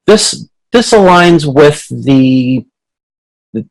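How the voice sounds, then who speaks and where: A man giving an informal lecture as an instructor on a video call, explaining slides in a halting way with repeated words and restarts. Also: a little high, animated, poor audio. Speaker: college instructor.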